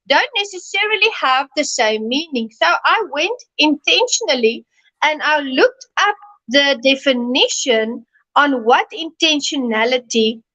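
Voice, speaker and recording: A South African woman whose first language is Afrikaans, presenting in a friendly, measured way on a video call. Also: sits high, a bit bright. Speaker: guest co-presenter. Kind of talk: explanatory talk. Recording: dull lo-fi video call audio.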